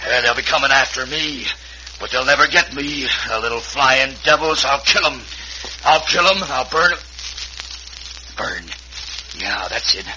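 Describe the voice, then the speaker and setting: A man's voice: medium pitch, natural voice. An actor playing a paranoid, violent madman in an old radio western, agitated and repeating himself obsessively. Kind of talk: 1950s western radio acting. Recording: muffled, very noisy old radio recording.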